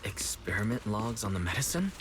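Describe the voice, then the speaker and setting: A man's voice: fairly low pitch, sing-song, a little fast, background noise. A man voicing an earnest, level-headed young investigator in an anime video game, speaking thoughtfully. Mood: puzzled, concerned. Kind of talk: video game dialogue acting. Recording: game music behind voice. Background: game music.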